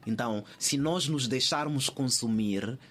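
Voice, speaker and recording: A man's voice: medium pitch, measured pace. A man from Mozambique with a Mozambican accent, answering conversationally as an interview guest, often repeating phrases as he thinks aloud.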